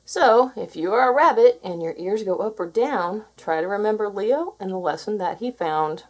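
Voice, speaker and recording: A woman reads a picture book aloud for children. Her pitch is medium and moves expressively up and down, with a slightly husky tone. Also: expressive, normal speed, a little mellow, low-quality recording. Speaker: storybook reader.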